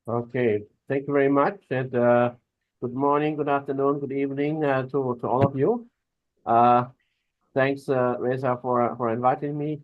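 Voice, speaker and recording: A man's voice: mid-range voice, flat delivery, moderate pace, no room sound. A man giving a presentation over a video call, hesitant and full of "uh" fillers. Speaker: invited speaker. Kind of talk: online presentation. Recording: dull, dry video-call audio.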